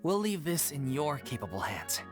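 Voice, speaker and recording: A man's voice: a little high, sing-song, normal speed. An actor playing a level-headed, conciliatory man in a video game. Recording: music under the dialogue.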